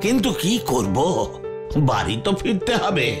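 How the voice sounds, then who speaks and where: Male actor as older storytelling uncle, animated, dramatic pauses, horror tale, loud music behind. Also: a little high. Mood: suspenseful. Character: older uncle recounting his frightening ghost encounter. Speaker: storyteller to listening youngsters.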